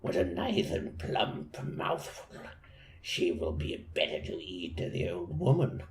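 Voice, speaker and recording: A man voicing a sly, scheming male wolf in a hushed, low, creeping tone. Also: big pitch swings, slow, gravelly, roomy sound, exaggerated voice.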